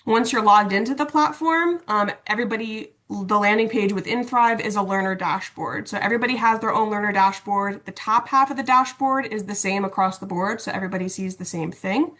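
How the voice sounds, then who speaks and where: Casual woman leading a software walkthrough. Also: mid-range voice, a little fast, hardly any pauses. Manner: casual, conversational explaining. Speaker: instructional designer and LMS administrator presenting. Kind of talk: informal webinar software walkthrough. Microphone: video call.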